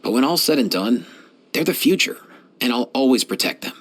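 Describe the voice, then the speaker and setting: An actor playing a kindly, laid-back young veterinarian in a video game, talking in casual slang. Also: male voice, medium pitch, expressive, brisk, fairly bright tone. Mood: relaxed, friendly. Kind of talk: game voice lines, conversational.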